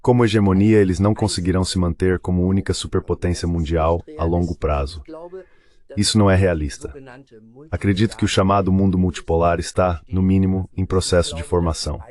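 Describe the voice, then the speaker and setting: Low-voiced man in translated voice-over. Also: fairly even intonation, brisk, darkish tone. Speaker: translated voice-over of a panel guest. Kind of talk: dubbed interview commentary on politics.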